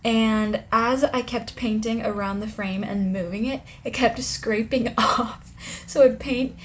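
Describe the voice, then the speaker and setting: A woman's voice: fairly high pitch, steady tone, a little slow, slight background noise. A young woman vlogging at home, chatty and rambling, her voice a little strained from a sore throat. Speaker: craft vlogger. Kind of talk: casual vlog narration.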